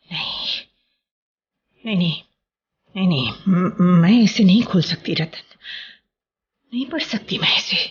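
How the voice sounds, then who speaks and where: An actress playing a troubled, guilt-torn woman in a radio play, halting and repeating phrases as she resists forbidden love. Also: medium pitch, slightly rough, lo-fi sound, heavily acted. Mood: fearful agonising. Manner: halting, repeats phrases, drops to anguished whispers. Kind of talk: Hindi radio play acting. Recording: music under a radio play.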